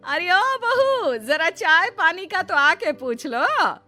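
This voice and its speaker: A woman's voice: very high voice, sing-song, takes it slowly, sharp tone, soft background music, full character voice. A woman voicing a bossy, grumbling middle-aged mother-in-law in a scolding, theatrical way for a Hindi moral cartoon.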